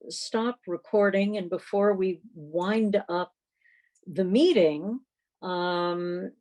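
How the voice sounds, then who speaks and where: A middle-aged woman chairing a club meeting on a video call, talking casually in a halting, rambling way. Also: on the low side, darkish tone, poor audio.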